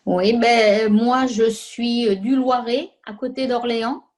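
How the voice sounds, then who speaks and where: A woman's voice: a little high, fairly even intonation, darkish tone. A woman of Portuguese origin, taking part in an online art course, speaking hesitantly on a group call. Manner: hesitant, with pauses and fillers. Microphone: video call.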